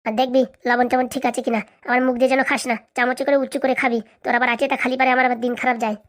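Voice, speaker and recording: Comic cartoon nagging wife, female performer: middle-aged, high-pitched, very rapid, domineering and scheming, full of scolding and threats. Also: level tone, natural voice.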